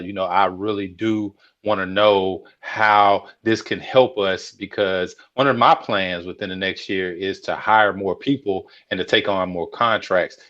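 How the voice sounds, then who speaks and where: A man's voice: sits low, fairly bright tone. A man hosting a live show, speaking in an earnest, welcoming way. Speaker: host. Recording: dull lo-fi audio.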